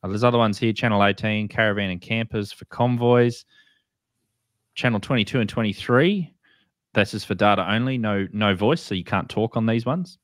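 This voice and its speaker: Deep-voiced Australian man explaining casually. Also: moderate pace, dry recording, studio quality. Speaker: host. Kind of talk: casual explainer with screen share.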